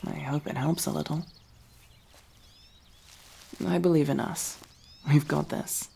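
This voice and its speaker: Woman playing a gravelly, unhurried elf rogue. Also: low voice, fairly even intonation, dark tone, exaggerated voice. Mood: thoughtful, encouraging. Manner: casual, teasing, dryly supportive. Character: wry, loyal female elf rogue adventurer. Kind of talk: intimate ASMR fantasy roleplay.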